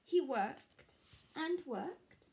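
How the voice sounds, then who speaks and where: Slow, expressive young woman reading a storybook. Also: high voice, vocal fry. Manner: explains words and asks questions. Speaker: primary school teacher. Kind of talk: picture-book read-aloud for young children. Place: small furnished room at home, indoors.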